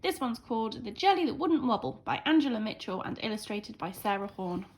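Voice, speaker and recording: A woman's voice: a little high, sing-song, brisk, breathy edge, rough recording. A woman teacher reading a storybook aloud to young children with dramatic, emphatic expression.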